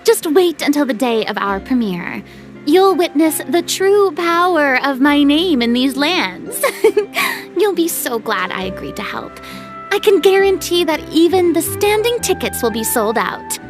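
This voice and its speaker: A woman's voice: high voice, sing-song, measured pace, faint music behind. A woman playing a theatrical, proud and playful young celebrity in a video game, with a pompous, dramatic manner. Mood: boastful.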